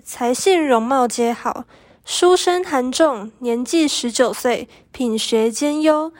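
High-voiced teenage girl narrating a story. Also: a little mellow, no room sound. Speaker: student narrator of a radio drama.

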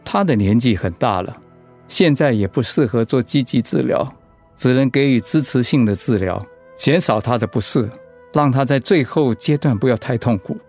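A man playing a serious, measured doctor explaining things gravely in a radio drama. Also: medium pitch, lively intonation, darkish tone, soft background music.

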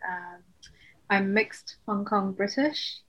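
Bright-voiced young woman, chatty guest. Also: mid-range voice, slow pace, dull audio. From New Zealand. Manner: relaxed and chatty, with many ums. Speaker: podcast guest. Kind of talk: casual podcast interview.

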